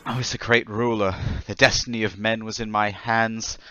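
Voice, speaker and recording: An actor playing a troubled, desperate man confessing to murders, halting and pleading in old time radio drama style. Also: fairly low pitch, little pitch movement, pushed and bright, lo-fi sound. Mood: brooding, unsettled. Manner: halting, agitated, stammering, pleading.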